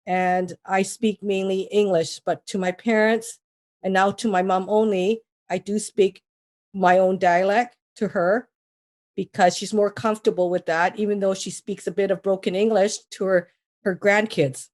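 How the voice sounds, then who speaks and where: A woman's voice: medium pitch, fairly even intonation, moderate pace, darkish tone. A woman, a mortgage broker being interviewed, talking casually with many restarts and fillers. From Trinidad. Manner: rambling, with frequent restarts and fillers.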